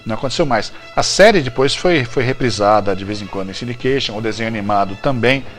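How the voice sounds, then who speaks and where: A man's voice: mid-range voice, quick pace, soft background music. A Brazilian man hosting a show, talking casually and jokily about trivia and often correcting himself. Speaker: show host. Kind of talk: casual, chatty TV-trivia commentary.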